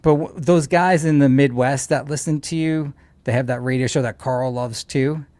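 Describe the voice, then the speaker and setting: A man's voice: mid-range voice, normal speed, darkish tone, no room sound. Opinionated man chatting, dry close sound. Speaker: co-host or regular guest on a livestream. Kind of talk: casual chat.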